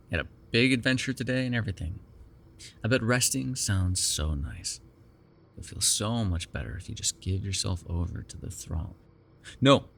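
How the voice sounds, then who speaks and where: A man's voice: lowish voice, vocal fry, fairly bright tone, heavily acted. A man playing a sardonic, prickly but secretly caring vampire in an ASMR roleplay, with a teasing manner. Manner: teasing, sarcastic, breaking into exasperation and soothing murmurs.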